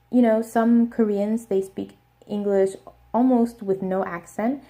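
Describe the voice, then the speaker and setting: A young woman with an American accent explaining pronunciation step by step in a casual video lesson, repeating words slowly. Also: medium pitch, warm tone. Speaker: language teacher.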